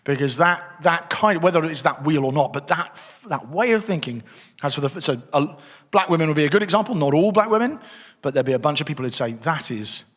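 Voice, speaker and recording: A man's voice: on the high side, expressive, brisk, a bit bright. A middle-aged man giving a conversational church teaching session, thinking aloud as he works through his slides. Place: church hall. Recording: long reverb of a hall.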